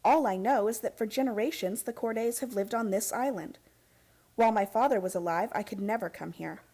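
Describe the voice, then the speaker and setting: An actress playing a frustrated young heiress in an old-time radio play, earnest and full of questions. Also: female voice, medium pitch, fairly quick, warm tone, close to an everyday voice. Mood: insistent, frustrated. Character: frustrated, insistent young heiress seeking family secrets.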